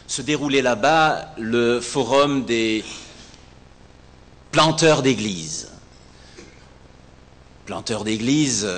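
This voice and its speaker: A man preaching a sermon as a pastor, emphatic and rhetorical, with deliberate repetition and pauses. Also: mid-range voice, a bit bright. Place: large reverberant hall, speaking to a congregation. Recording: echoey hall, long reverb, some background noise.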